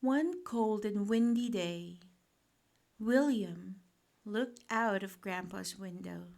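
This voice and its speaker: A woman reading a children's bedtime picture book aloud in a gentle, expressive, deliberate manner. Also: mid-range voice, vocal fry. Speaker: storyteller.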